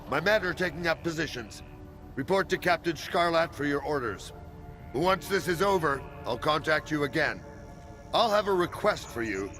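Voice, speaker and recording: An actor playing a ruthless, authoritative military commander in a video game cutscene, speaking in measured, commanding phrases. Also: male voice, on the high side. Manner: commanding, measured, clipped orders.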